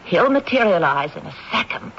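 A woman's voice: on the low side, big pitch swings, normal speed. An actress playing an earnest, polite young woman who speaks for her ghostly great-grandfather, in a radio drama. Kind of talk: 1970s radio drama acting. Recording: dull old radio recording with faint hiss.

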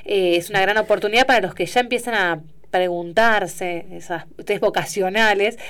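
A woman hosts a radio program from a studio. Her voice is smooth and clear, pitched in the middle range. She talks in an unhurried, friendly way, asking open questions and reading out greetings. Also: fairly bright tone. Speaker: radio show host. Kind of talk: casual radio chat and announcements.

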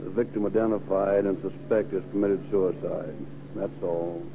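A man delivering an official 1930s police radio bulletin as a dispatcher, in a monotone. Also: deep voice, normal speed, vocal fry. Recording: very noisy, tinny old radio recording.

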